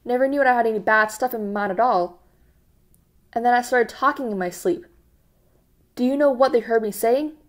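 A woman's voice: fairly high pitch, normal speed, pauses often, natural voice. A woman voicing a frightened, servile middle-aged man who pleads anxiously and almost blubbers.

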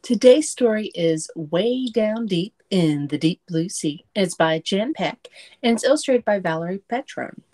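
A middle-aged woman reading a picture book aloud to children in an expressive, sing-song way, recorded at home on a computer mic. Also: mid-range voice, unhurried. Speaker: children's storyteller. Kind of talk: children's picture book read-aloud with asides to young listeners. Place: small room at home. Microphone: computer mic on a video call setup.

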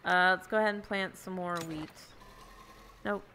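A woman's voice: lowish voice, steady tone, moderate pace, bright, forceful tone, slight background noise. A young woman streaming a farming game over a headset, chatting casually and giggling as she thinks aloud. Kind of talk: casual gameplay chat. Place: home room indoors.

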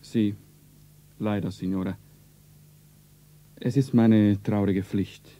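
Slow, solemn Italian-accented doctor. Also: male voice, lowish voice, steady tone, dark tone, slight background noise. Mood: grave. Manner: grave, halting, formal. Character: small grey-haired, solemn foreign doctor. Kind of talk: stylized radio drama.

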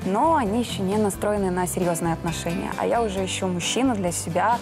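A woman's voice: medium pitch, fast talker, over music. Woman announcer of twenty-four. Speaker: announcer, show contestant.

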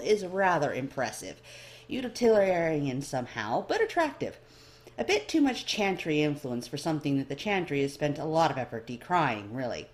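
A woman's voice: low voice, a little mellow. A woman reading fiction aloud as scripted audiobook narration. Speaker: fan fiction reader.

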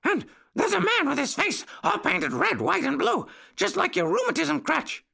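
A man voicing excitable little puppy boys in a children's story, eager and pleading with barking exclamations. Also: very high voice, normal speed, gravelly, pitched above the performer's own voice, heavily acted. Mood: excited.

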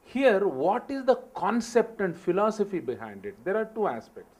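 A man's voice: high-pitched, big pitch swings, normal speed, darkish tone, slightly rough. A middle-aged man on a seminar panel, explaining step by step into a handheld mic. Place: seminar hall stage.

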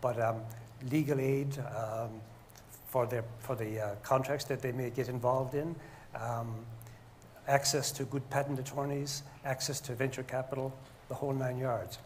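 Older male panelist, medium pitch, measured and hesitant, slight background noise. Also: little pitch movement. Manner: deliberate, hesitant with frequent pauses. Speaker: panelist, engineer. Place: stage of a lecture hall, indoors. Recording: faint noise in a hall.

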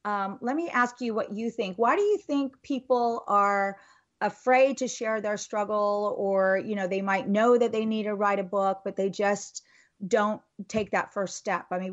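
A woman hosts an interview in a middle-pitched voice, enthusiastic and rambling, full of you-knows and ums. Her questions circle before landing. The sound is slightly muffled. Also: fairly even intonation, moderate pace. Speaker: podcast host. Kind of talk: interview host.

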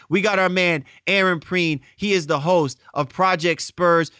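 A man hosts a sports podcast with a smooth, fairly high voice. He speaks informally and effusively, as if chatting with friends, in a dry, close sound. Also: sharp tone, dry recording. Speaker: podcast host. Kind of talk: conversational sports podcast interviewing.

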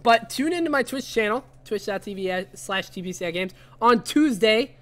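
A young adult man co-hosting a gaming podcast with casual, slangy, excitable banter over a headset. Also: high-pitched, normal speed. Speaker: podcast co-host and game streamer. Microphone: headset, online call. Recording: faint background noise.

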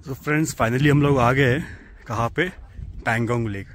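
A man making a casual travel vlog outdoors, excitedly pointing out the road, trucks and lake to his viewers while riding and filming. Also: mid-range voice, moderate pace, noisy background. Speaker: travel vlogger. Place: outdoors on mountain roads and lakeside. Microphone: handheld camera, often riding a bike.

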